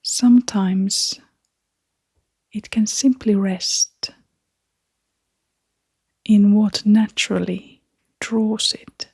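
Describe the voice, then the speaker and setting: Female meditation guide: hushed and breathy, level intonation, unhurried short phrases with long gaps, very dry close recording. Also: mid-range voice, fairly even intonation, dry recording. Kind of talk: guided meditation, soft-spoken.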